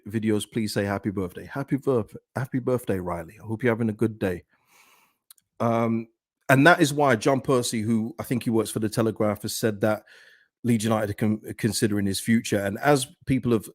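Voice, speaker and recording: A man with a deep, soothing voice hosting a football fan channel, chatting in a casual, rambling way as he talks with viewers. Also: dry recording, studio quality. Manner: conversational, rambling. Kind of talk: casual livestream chat, reading and answering viewer comments.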